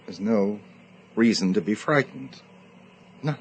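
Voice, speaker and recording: A low-voiced, guilty, agitated man. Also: slow pace, creaky. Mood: remorseful, resolved. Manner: stammering, intense. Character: guilty, agitated man hiding a killing, domineering yet pleading. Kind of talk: radio drama acting. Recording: radio drama recording with noisy ambience.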